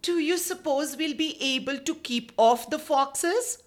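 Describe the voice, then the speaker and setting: A woman's voice: high voice, measured pace, voice pushed up. A woman voicing a timid, frightened male ram in a children's story. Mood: worried.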